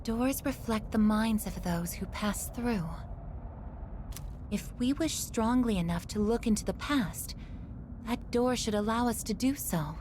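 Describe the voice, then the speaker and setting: Gentle, earnest android girl played by an actress. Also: fairly high pitch, measured pace, slight background noise, lightly acted. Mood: earnest, resolute. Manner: polite, careful, sincere. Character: gentle, earnest young female android in a video game. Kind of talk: dramatic game voice acting.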